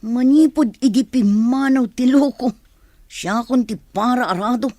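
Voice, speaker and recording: A woman's voice: on the high side, a little slow, warm tone, no room sound. An actress playing a nosy, suspicious mother in a radio drama, blunt and needling in manner.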